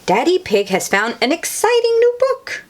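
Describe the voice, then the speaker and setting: A woman's voice: very high-pitched, huge pitch swings, moderate pace. A woman reading a picture book aloud to young children, exclaiming and adding encouraging asides addressed straight to them.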